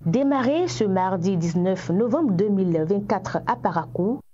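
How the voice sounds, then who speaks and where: Woman news reporter doing voice-over, husky mellow voice, slightly muffled recording. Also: medium pitch.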